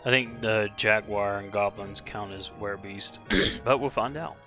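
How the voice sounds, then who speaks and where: A man giving casual, rambling live-stream commentary while playing a game, slightly hoarse and often correcting himself. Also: on the low side, steady tone, normal speed, sharp tone, soft background music.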